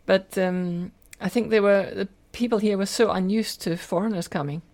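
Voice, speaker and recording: Creaky older Scottish woman telling stories. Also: medium pitch, steady tone, normal speed, a little mellow. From Scotland. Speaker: podcast guest, teacher and lecturer. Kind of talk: personal storytelling in interview.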